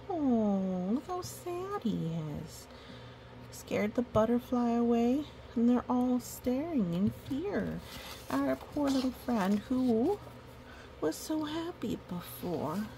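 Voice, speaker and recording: A woman's voice: fairly high pitch, sing-song, takes it slowly, dark tone, a little hiss or hum, rough recording. A woman reading a picture book aloud with playful, chatty asides to the characters and listeners. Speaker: storytime reader.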